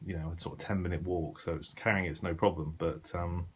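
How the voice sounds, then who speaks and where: A man's voice: deep voice, steady tone, a little fast, breathy edge. A British man giving casual, unscripted commentary as a hobbyist showing his gear, talking in a hesitant, rambling, conversational way. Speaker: shortwave radio hobbyist presenting his gear.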